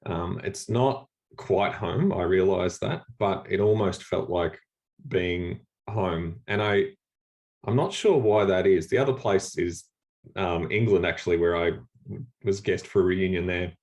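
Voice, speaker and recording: Man, Australian accent, very low voice, rambling, filler-heavy, slightly sleepy, video call. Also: unhurried. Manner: relaxed, rambling with many fillers and restarts. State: a little sleepy, early morning. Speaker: church leader and speaker. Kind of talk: informal talk on a video call.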